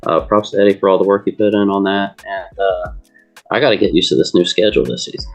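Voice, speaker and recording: A man on a sports show chatting casually, halting and repeating words as he goes. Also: deep voice, fairly even intonation, faint music behind. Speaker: co-host or panelist on a sports league show.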